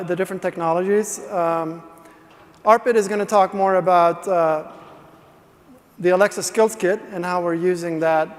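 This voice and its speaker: Male tech presenter, slightly high voice, halting explainer, long hall reverb. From Sweden. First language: Swedish. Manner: explanatory, halting with many restarts. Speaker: conference presenter, speech engineer. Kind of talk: conference talk. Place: large conference hall.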